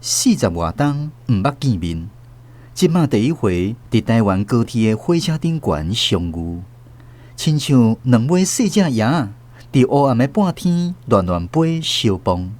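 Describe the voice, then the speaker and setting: Elderly Taiwanese grandfather, wistful and tender, radio drama storyteller, very lively intonation. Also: mid-range voice, measured pace. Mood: tender, reflective. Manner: literary, emotive storytelling. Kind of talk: scripted radio drama narration.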